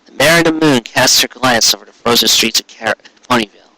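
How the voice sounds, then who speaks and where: A man narrating scripted fiction as a storyteller, with an expressive, dramatic and florid delivery. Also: mid-range voice, a little fast, poor audio.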